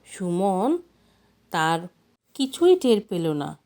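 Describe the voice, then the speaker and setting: A woman's voice: lowish voice, very animated, extremely slow, warm tone. A woman storyteller narrating a folk tale expressively, as if reading from a storybook.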